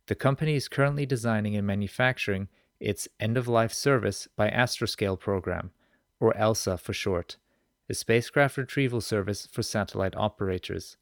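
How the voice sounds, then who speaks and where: A man's voice: lowish voice, brisk, no room sound, clean studio sound. A man hosting a podcast, presenting and interviewing. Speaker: podcast host. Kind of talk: scripted podcast introduction and interview.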